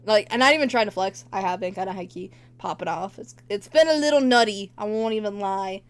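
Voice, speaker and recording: A woman's voice: medium pitch, a little fast. A woman livestreamer chatting casually with her viewers, teasing them playfully and laughing often. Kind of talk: casual stream chat with viewers.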